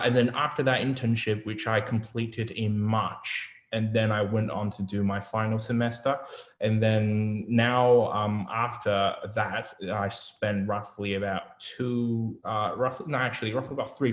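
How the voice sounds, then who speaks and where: A man in his twenties from China, giving a casual online talk as an alumni guest speaker. He speaks haltingly and thinks aloud as he goes. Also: lowish voice, roomy sound. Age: 20s. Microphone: video call.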